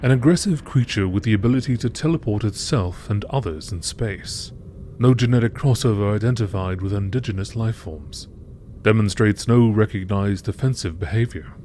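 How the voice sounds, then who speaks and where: A man's voice: on the low side, moderate pace, dark tone, faint music behind. A man reading scripted entries as a narrator in the style of a nature documentary.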